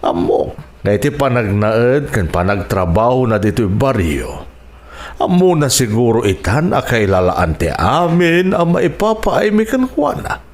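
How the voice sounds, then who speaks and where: A Filipino man playing a gentle, forgiving middle-aged landowner and father in a radio drama, with slow, emotive delivery. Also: medium pitch, big pitch swings. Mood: grateful, reflective.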